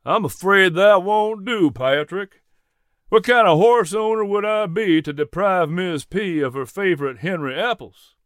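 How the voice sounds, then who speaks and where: A man's voice: high voice, a little slow, fairly bright tone, smooth, no echo, studio quality, voice pushed up. A man playing a genial, teasing older horse owner with a folksy, emphatic way of talking in an audiobook story. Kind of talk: audiobook character dialogue.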